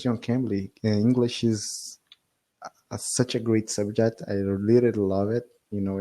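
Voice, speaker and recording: A man's voice: on the low side, mellow. A man with a Brazilian accent, an English learner whose first language is Portuguese, talking on a video call in an eager, hesitant way with frequent laughs. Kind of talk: casual video-call lesson.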